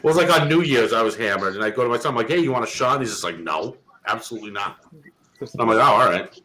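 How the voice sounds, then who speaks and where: A man in his thirties from Massachusetts, telling profane, animated stories in a casual chat and acting out the quotes. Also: mid-range voice, quick pace, a little hiss or hum.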